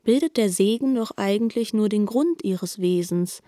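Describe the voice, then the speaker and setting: A woman narrating a literary radio drama in a measured, articulate way. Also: mid-range voice, dark tone, no room sound, studio quality.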